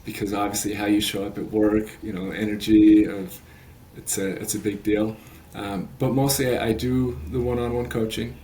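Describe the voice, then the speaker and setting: Earnest late-thirties man, low voice. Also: flat delivery, moderate pace, few pauses, dark tone, slight background noise. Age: 30s. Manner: earnest, rambling with many fillers and restarts. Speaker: guest, resilience coach. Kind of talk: podcast interview.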